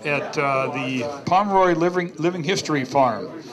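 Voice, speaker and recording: A man's voice: a little high, normal speed, music in the background. A man making an informal, somewhat tentative announcement. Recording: crowded room chatter.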